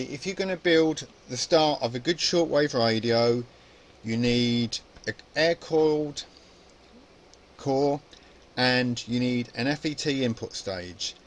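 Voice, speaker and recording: A man presenting an informal hands-on electronics tutorial, explaining casually and thinking aloud as he goes. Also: medium pitch. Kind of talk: informal spoken tutorial demonstration.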